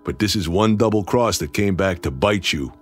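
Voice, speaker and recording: A man's voice: deep voice, expressive, normal speed, slightly rough, faint music behind. A man acting a sardonic, menacing tough guy bent on revenge in a radio drama, terse and mocking. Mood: bitter taunting. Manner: terse, mocking, hard-boiled.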